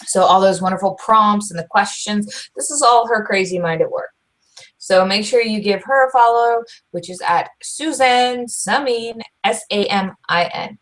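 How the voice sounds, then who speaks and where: A young woman co-hosting a podcast, talking loud and fast in casual chat, full of restarts. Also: mid-range voice. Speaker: podcast co-host.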